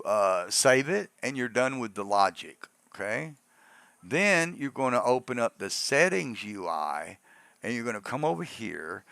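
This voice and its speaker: A man with a gravelly voice narrates a software tutorial in an informal, rambling step-by-step way, often checking in with an "okay". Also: medium pitch, no room sound. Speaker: tutorial host.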